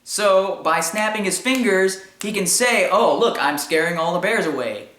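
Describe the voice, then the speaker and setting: High-pitched man with an echoey sound. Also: expressive, normal speed, a bit bright. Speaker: commentary show host. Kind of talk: informal opinion commentary.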